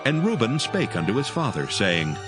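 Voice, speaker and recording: Casting: male narrator for audio scripture, lowish and formal with lively melody, loud music bed. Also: normal speed.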